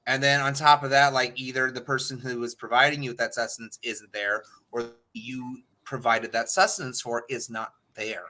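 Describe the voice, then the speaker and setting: A man's voice: mid-range voice, level tone, moderate pace, hardly any pauses, sharp tone, poor audio. A man from California with an American accent, chatting casually as a talk show panelist. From California, United States. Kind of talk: casual chat.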